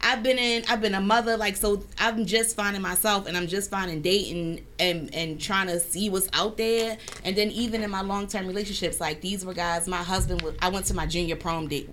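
Blunt, bright-voiced woman in her thirties. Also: medium pitch, steady tone, a little fast, hardly any pauses, slight background noise. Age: 30s. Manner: blunt, profane, emphatic. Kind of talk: casual group chat.

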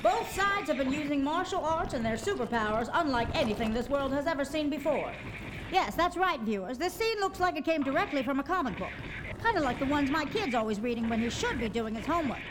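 Woman playing a high-voiced chopper news reporter. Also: lively intonation, a little fast. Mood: exasperated. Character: female helicopter news reporter, brash and exasperated mother. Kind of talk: mock live news report. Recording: clearly noisy helicopter ambience.

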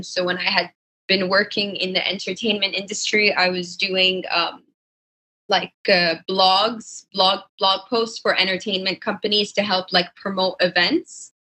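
Bright-voiced young woman chatting. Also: mid-range voice, poor audio. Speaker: podcast guest, fashion designer. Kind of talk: casual conversational interview answers.

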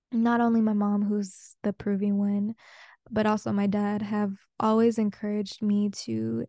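A woman's voice: medium pitch, mellow, dry recording, clean studio sound. A young woman from Peru, a Spanish native speaker, hosting a solo podcast and talking in an earnest, open, casual way with some slang. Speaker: podcast host. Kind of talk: reflective solo podcast talk.

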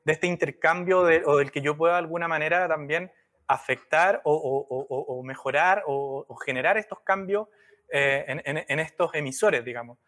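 A man's voice: a little high, moderate pace, a bit bright. A man hosting an interview, speaking hesitantly and searching for words. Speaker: podcast host, brand strategist. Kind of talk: conversational interview.

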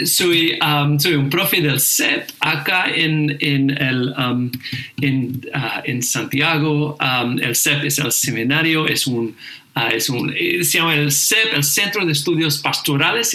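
Casting note: man, slow, halting delivery, bright tone, roomy echo. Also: on the high side. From England. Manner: hesitant, many fillers and restarts. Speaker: seminary teacher, guest. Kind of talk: casual conversation.

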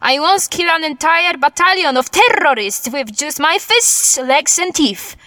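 Casting: haughty lethal superheroine, high forceful voice, formal boasting, audio comedy. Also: female voice, lively intonation, unhurried, no room sound, studio quality, heavily acted. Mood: boastful. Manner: boastful, formal, clipped foreign-style grammar dropping articles. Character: proud, deadly female martial-arts superhero. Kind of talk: comedic audio drama acting.

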